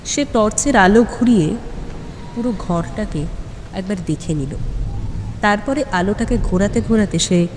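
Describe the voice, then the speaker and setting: A woman's voice: medium pitch, darkish tone, slight background noise. Woman narrating suspense in long, hall-like reverb. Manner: deliberate phrasing with dramatic pauses. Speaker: audio story narrator. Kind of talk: dramatic suspense story narration.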